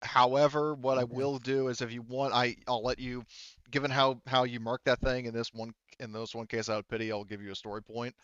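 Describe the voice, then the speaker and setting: A man runs a tabletop roleplaying game in a loose, informal way. His voice is clear and medium in pitch, with a dry sound and very little room around it. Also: little pitch movement, brisk, fairly bright tone, no echo, lo-fi sound. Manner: informal, swears casually. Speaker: game master.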